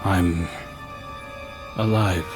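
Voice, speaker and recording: A man's voice: sits low, fairly even intonation, drawn-out words, soft background music. A man voicing a humble, haunted young scout in a dramatic game cutscene, speaking candidly and reflectively with some hesitation. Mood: reflective, cautiously hopeful. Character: humble, haunted young male scout seeking a second chance.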